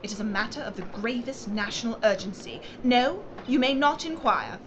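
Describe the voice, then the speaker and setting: An actress playing a bold, imperious aristocratic lady sleuth of 1940s London, brisk and commanding in a period drama. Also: a little high, background noise, lightly acted. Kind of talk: period audio drama acting.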